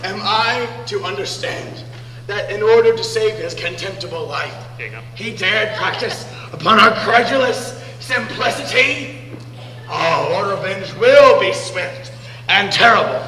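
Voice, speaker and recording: A man's voice: lively intonation, measured pace, sharp tone, full character voice. A man playing a blustering pirate captain. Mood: vengeful. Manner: grandiose, booming, comic bluster. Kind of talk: live operetta stage acting. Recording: echoey hall, live stage with music.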